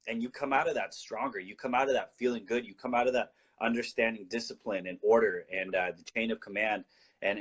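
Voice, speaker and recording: A man's voice: fairly low pitch, breathy edge, low-quality recording. A man from Colombia, a guest in a casual talk-show discussion, explaining his views earnestly and often restarting his sentences.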